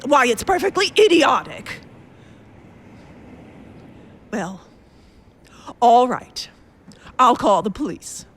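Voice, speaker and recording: Woman as fretful, haughty housewife for radio drama; high voice, wildly swooping delivery, indignant and insistent. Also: big pitch swings, slow, fairly bright tone, full character voice. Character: nervous, imperious, complaining invalid wife. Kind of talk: radio play acting.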